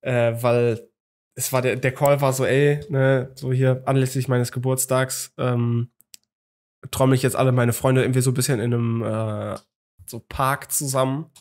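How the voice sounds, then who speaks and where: A man's voice: mid-range voice, flat delivery, moderate pace, darkish tone. Young man rambling on a podcast. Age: young adult. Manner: rambling, lots of fillers and restarts. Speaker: podcast host. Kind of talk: casual chat. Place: small home room. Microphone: studio mic on a boom arm, video call.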